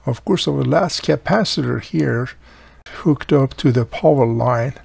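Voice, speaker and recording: Gravelly, husky man explaining step by step. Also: medium pitch, unhurried, a little mellow, dry recording. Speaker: host of a radio repair channel. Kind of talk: instructional video narration.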